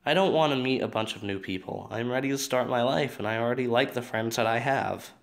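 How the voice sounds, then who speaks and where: Matt, man playing creaky-voiced wry teenage boy. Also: mid-range voice, fairly quick, close to an everyday voice. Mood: wry, grumbling. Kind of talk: audio drama dialogue.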